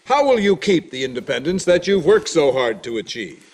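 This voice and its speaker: An actor playing a pressing news reporter calling out questions at a press conference in a video game. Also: male voice, fairly high pitch, very animated, normal speed, darkish tone. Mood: urgent, probing. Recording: reverberant hall.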